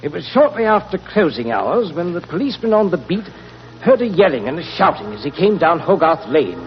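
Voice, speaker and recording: Anxious, high-voiced banker. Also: male voice, very animated, fairly quick. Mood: grave, earnest. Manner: hesitant starts, dramatic telling. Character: anxious, earnest English banker recounting robberies. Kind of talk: 1940s radio acting. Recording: muffled 1940s radio recording.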